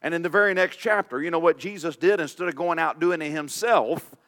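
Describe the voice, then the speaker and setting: A man preaching a sermon as pastor, his deep voice raspy and hoarse from illness, reading scripture with informal asides. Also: a little fast, a bit bright. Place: church sanctuary. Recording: long reverb of a church hall.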